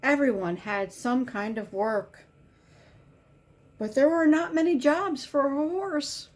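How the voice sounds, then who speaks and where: A woman reading a children's picture book aloud as a volunteer, in simple, deliberate storytelling with emphasized key words. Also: high voice, darkish tone.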